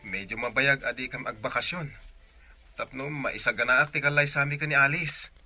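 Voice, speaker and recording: Bright, forceful young Ilocano man. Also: mid-range voice, a little fast, no echo. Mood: fond, reassuring. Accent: Filipino, Ilocano. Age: young adult. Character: devoted young man working away, attentive sweetheart and son. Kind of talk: radio drama acting.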